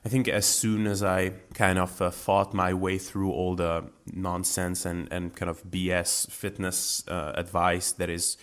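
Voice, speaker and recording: A man who is a guest on a podcast, talking about his own experience in a relaxed, chatty way with fillers and restarts. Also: deep voice, measured pace, few pauses. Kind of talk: casual interview talk.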